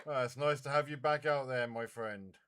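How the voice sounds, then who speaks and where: A man's voice: medium pitch, normal speed. A man hosting a casual CB radio net, chatting in a friendly, informal way. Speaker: CB radio net controller and live stream host. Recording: very noisy CB radio live stream.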